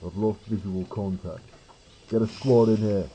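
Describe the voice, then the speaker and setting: A man's voice: on the low side, fairly even intonation, unhurried, dark tone, slight background noise, close to an everyday voice, poor audio. A man voicing a stern guard in an audio roleplay, giving clipped military commands. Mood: urgent, commanding. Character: stern male guard barking orders on a hunt.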